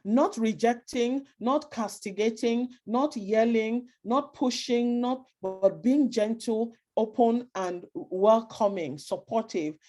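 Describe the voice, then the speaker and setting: A woman's voice: on the high side, slow, hardly any pauses, dark tone. A woman with a Nigerian accent giving earnest, emphatic advice to parents and repeating her key points. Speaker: speaker advising parents. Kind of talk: advice talk to immigrant parents.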